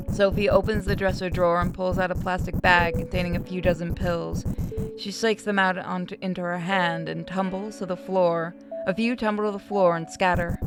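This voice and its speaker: Woman, lowish voice, game story narration, read aloud, clear music behind. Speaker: narrator reading a visual novel aloud.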